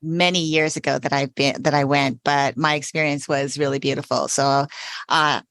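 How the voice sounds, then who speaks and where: A woman's voice: deep voice, steady tone, normal speed, fairly bright tone, no echo, studio quality. A woman hosting a podcast interview, opening with a scripted introduction and then chatting with her guest in an enthusiastic, gushing way. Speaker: podcast host, self-care coach.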